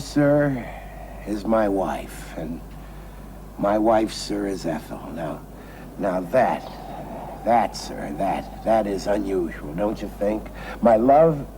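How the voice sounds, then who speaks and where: An actor playing a boastful, sentimental and tipsy American gambler in a television drama, rambling and repeating himself with emphasis. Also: male voice, low-pitched, lively intonation, slow, warm tone. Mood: dreamy, sentimental. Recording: noisy old television drama soundtrack.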